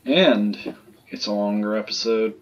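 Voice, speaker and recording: A man giving casual, low-key let's play commentary, muttering in short phrases. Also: a little slow, muffled recording, slight background noise. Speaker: gaming video host.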